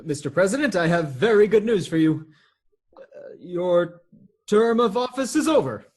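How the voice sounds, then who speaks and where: A man plays an anxious, placating nephew in a comedy for radio. The delivery stammers and hurries, jumping around in pitch, with a slightly rough, breathy edge. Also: lively intonation, moderate pace, darkish tone. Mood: flustered. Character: flustered, anxious nephew desperately managing his mad family. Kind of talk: stage comedy acting for radio theatre.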